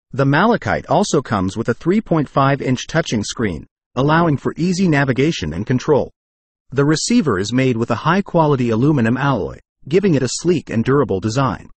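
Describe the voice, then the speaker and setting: Lively male product review narrator, close dry sound. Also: medium pitch, breathy edge, dry recording. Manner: promotional, read from a script.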